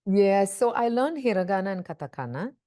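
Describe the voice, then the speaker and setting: A middle-aged woman from India with an Indian accent, telling her life story casually in an interview and filling pauses with "you know". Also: mid-range voice, expressive, dark tone. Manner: chatty, leans on "you know" fillers, laughs easily. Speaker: oral history interviewee.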